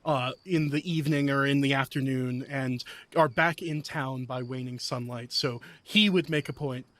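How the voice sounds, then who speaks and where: A man's voice: mid-range voice, normal speed, fairly bright tone. A man playing a tabletop role-playing game, casually describing his character and the scene. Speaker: player.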